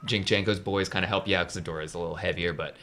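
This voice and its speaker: A man's voice: low-pitched, fairly even intonation, rapid-fire, sharp tone, a little hiss or hum. A man casually narrating a tabletop game as the game master.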